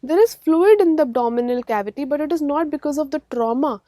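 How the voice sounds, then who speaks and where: A woman's voice: high voice, fast talker, mellow, no echo, studio quality. A woman with an Indian accent giving a medical lecture in an emphatic teaching manner, repeating key terms and checking that students follow. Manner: didactic, emphatic repetition, frequent check-in questions. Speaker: radiology lecturer. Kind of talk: medical exam-prep lecture.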